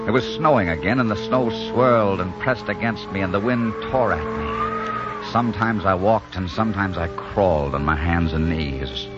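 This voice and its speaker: An actor playing a rugged, defiant romantic hero and narrator in a 1940s radio drama, intense and dramatic. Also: male voice, deep voice, normal speed, close to an everyday voice. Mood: grim, dramatic. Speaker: leading man and narrator. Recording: dull 1940s radio recording, music behind.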